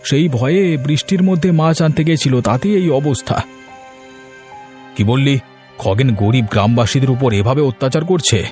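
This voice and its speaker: A man's voice: mid-range voice, normal speed, a little mellow, faint music behind, close to an everyday voice. A man voicing an honest, earnest young village man in a cartoon, pleading and direct. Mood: worried. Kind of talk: cartoon voice acting.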